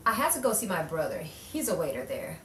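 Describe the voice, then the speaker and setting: A woman's voice: lowish voice, very animated, a little fast. A woman playing a frightened, distrustful crime witness in an improvised noir radio play. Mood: uneasy recounting. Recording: echoey room, distant mic, faint noise.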